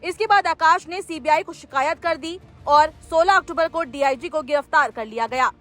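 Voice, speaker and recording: A woman's voice: sits high, moderate pace, fairly bright tone. A woman news presenter delivering a scripted news report as narration. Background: background music.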